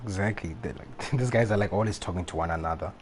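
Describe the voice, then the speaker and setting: A man's voice: sits low, quick pace. A young man doing casual, slangy reaction commentary as he livestreams a video game. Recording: faint game music behind voice. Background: game music.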